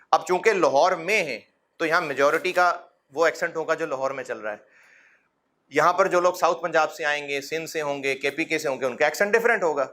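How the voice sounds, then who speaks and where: Bright, fatherly lecturer man. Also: high-pitched. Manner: fatherly and encouraging, addresses students as son; rhetorical questions, gentle humor. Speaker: accounting lecturer. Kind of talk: classroom lecture. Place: classroom.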